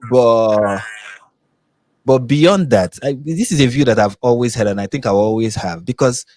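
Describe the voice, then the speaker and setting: A Nigerian man hosting a live discussion, talking with animated emphasis and frequent restarts, his voice rising when he stresses a point. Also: mid-range voice, moderate pace, no echo. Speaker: host.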